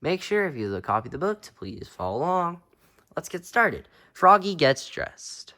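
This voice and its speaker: A man's voice: fairly high pitch, big pitch swings, a little slow, bright, forceful tone, dry recording, studio quality. A man reading a children's picture book aloud with playful, sing-song emphasis and punchy sound-effect words. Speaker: read-aloud storyteller.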